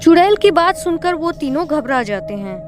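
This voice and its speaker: Female narrator for horror cartoon: high-pitched voice, scripted storytelling, light music bed. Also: big pitch swings, normal speed.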